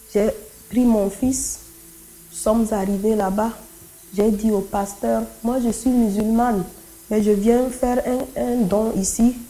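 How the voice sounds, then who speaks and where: A woman with a fairly high, very mellow voice shares her religious testimony. Her native language is Kotokoli. She tells it as a dramatic story, repeating phrases for emphasis and voicing the dialogue she quotes. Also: poor audio. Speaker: church testimony speaker.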